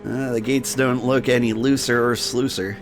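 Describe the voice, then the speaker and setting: A man's voice: medium pitch, level tone, normal speed. A man doing a playthrough of a story game, mixing casual asides with reading aloud over music.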